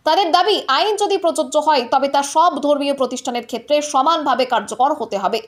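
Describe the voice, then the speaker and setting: The high, fast voice of a woman, reading the bulletin on an online news channel. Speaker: news presenter for a political news channel. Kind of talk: scripted news narration.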